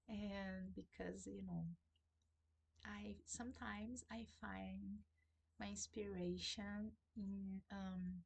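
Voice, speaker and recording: Woman with a Portuguese accent, slow and hesitant, husky voice with a gravelly edge; casual book vlog. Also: medium pitch, level tone. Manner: hesitant, many fillers and restarts, soft laughs. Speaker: book vlogger. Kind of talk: casual chat to camera. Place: at home in sunlight.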